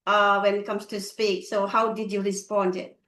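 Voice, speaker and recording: A woman hosting a casual video call interview, asking questions in a halting way with lots of fillers and restarts. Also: medium pitch, little pitch movement, echoey room. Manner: halting, many fillers and restarts, warm praise. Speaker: host.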